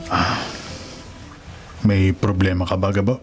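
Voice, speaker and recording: A man's voice: low-pitched, flat delivery, takes it slowly, over music. An actor playing a caring, concerned elderly village grandfather with a Filipino accent in a radio drama.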